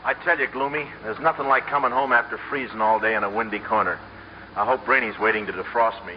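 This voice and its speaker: An actor playing a brash, easily flustered small-time hustler with slangy wisecracks, in 1950s radio comedy style. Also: male voice, lowish voice, steady tone, fast talker, close to an everyday voice. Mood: wisecracking. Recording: noisy muffled old radio broadcast.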